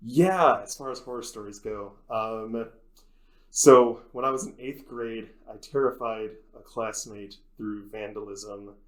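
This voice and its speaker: A man's voice: lowish voice, measured pace. A man, a faculty advisor, casually telling a rambling personal story as an interview guest, acting out bits of conversation.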